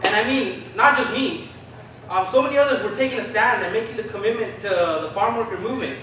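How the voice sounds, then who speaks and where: Man playing a high-voiced young Chicano storyteller, echoey. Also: fast talker, sharp tone. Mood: awed, earnest. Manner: colloquial, slangy storytelling with Spanish words. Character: Philip, spirited Chicano youth recalling 1970 activism. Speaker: stage reader in a documentary play. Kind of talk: theatrical monologue, staged reading. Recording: echoey room from far off, noisy.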